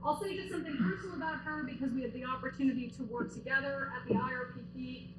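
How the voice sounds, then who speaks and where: A fairly high-voiced woman gives a chatty, rambling introduction at a university event, with plenty of fillers and side remarks. Her voice rings around an echoey lecture room, and a steady noise sits beneath it. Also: fast talker. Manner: chatty, warm praise with asides and fillers.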